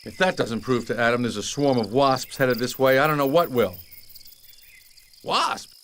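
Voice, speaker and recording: An actor playing a young worker bee, a wry and earnest reluctant hero in an animated video game. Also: male voice, mid-range voice, normal speed, a little hiss or hum. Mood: incredulous. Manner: wry, incredulous.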